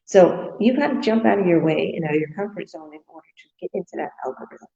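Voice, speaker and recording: Brief: low-pitched woman from Canada, chatty live-stream host who gushes and laughs, dull lo-fi audio. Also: expressive, quick pace, gravelly, warm tone. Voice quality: laughs often, gushing. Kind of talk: casual live stream chat.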